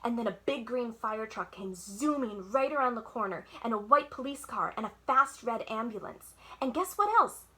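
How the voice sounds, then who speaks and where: A woman reads a children's book in a fairly high voice. Her narration is expressive and dramatic, phrased like a child telling her own story. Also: moderate pace, few pauses. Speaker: library storytime reader.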